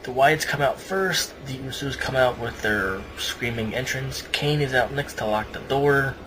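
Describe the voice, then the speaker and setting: A man's voice: medium pitch, measured pace. A man hosting a casual podcast, reading a match report aloud and dropping in wry asides. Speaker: podcast host. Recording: noisy amateur podcast recording.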